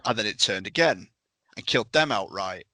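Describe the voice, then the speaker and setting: Male game master, lowish forceful voice, punchy casual storytelling, dry close sound. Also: expressive, a little fast, no echo. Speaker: podcast panellist and tabletop game master.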